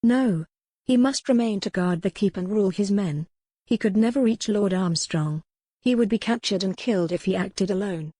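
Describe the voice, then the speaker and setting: A woman's voice: medium pitch, normal speed, mellow, no room sound, close to an everyday voice. Woman as a determined, remorseful young noblewoman. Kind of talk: dramatic audiobook character reading.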